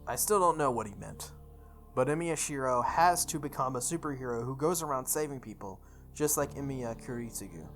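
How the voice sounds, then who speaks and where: A woman's voice: extremely low pitch, expressive, fairly quick. A woman reading visual novel text aloud as a playthrough host, sometimes stumbling and re-reading lines. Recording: music behind the voice.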